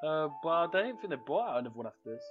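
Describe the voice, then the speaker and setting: A man's voice: fairly high pitch, sing-song, very fast, phone-quality sound. A young man giving casual, informal, sweary commentary over video gameplay. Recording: game music behind the voice.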